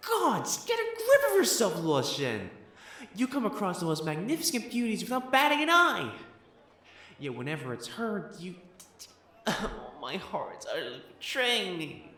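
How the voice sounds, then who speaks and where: A man's voice: high voice, huge pitch swings, unhurried, bright, forceful tone, husky, full character voice. A man playing a pompous, easily flustered young divine matchmaker in a romantic audio roleplay, speaking formally and stammering. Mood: flustered, smitten. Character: haughty, flustered, lovestruck young male divine matchmaker in imperial China.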